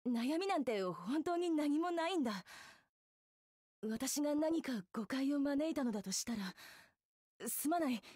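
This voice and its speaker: An actress playing a composed, princely young woman idol in a Japanese game, speaking politely and with gentle reassurance. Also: high voice, expressive, measured pace, long pauses, dry recording, studio quality. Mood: troubled, apologetic. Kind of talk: Japanese mobile game visual novel acting.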